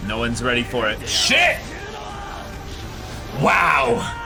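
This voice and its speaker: A man's voice: mid-range voice, huge pitch swings, drawn-out words, creaky, raised voice, a crowd in the background. A man giving a casual, exclamatory live reaction to an anime episode, swearing freely. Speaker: reaction show host. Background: music from the episode being watched.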